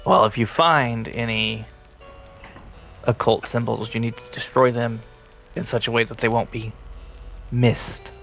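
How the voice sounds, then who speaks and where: A man narrating a tabletop roleplaying game as game master, speaking haltingly and deliberately. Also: medium pitch, steady tone, gravelly, fairly bright tone, lo-fi sound, faint music behind. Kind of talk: narrating a tabletop roleplaying game, partly in character as a briefing handler.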